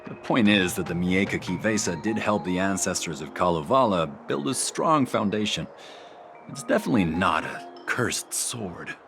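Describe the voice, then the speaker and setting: An actor voicing a skeptical adult man in a game cutscene, who explains legends in an animated way. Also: deep voice, moderate pace. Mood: explaining. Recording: game audio with clear music behind.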